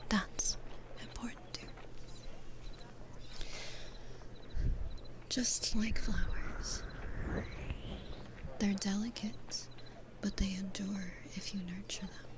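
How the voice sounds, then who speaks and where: A woman playing a gentle, patient, kindly demon florist in an ASMR roleplay, in a soft breathy whisper with a soothing, nurturing manner. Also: medium pitch, fairly even intonation, close to an everyday voice. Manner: slow, soothing, nurturing, unhurried.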